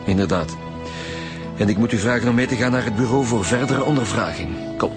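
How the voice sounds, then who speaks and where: A man's voice: mid-range voice, a little fast, loud music behind the voice, lightly acted. A man playing a dry, sceptical police detective in a radio drama, speaking with a Flemish accent. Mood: stern, formal.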